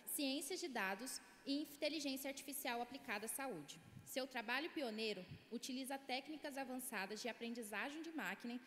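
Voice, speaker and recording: A woman hosting an event reads a formal introduction from a script, with an occasional slip. Her voice sits fairly high. The recording is echoey, with a long hall reverb and faint room ambience. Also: a little fast, hardly any pauses, a bit bright, a little hiss or hum. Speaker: event host introducing the speaker. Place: large auditorium.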